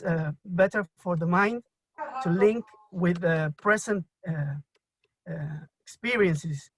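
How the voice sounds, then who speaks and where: A Spanish-speaking man from Argentina asks a question over a video call in slow, halting English with an Argentine accent. He stops often, repeats words and fills gaps with "uh." The sound is dry and close. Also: high voice, fairly bright tone, no room sound, low-quality recording. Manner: halting, many fillers and restarts. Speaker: audience member asking a question. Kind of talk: hesitant question in a live online Q&A.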